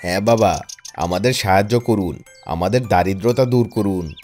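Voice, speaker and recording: A man's voice: lowish voice, normal speed, a little mellow, faint music behind, natural voice. A man playing a poor, wistful young man who pleads, in scripted cartoon fable dialogue. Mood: pleading.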